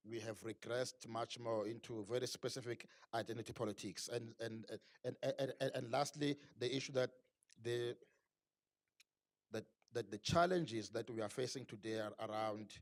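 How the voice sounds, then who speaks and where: A man's voice: mid-range voice, steady tone, normal speed, no echo. Earnest man, stammering, dry sound. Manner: stammering restarts, earnest and analytical. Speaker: panel speaker. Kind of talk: unscripted talk at a book launch.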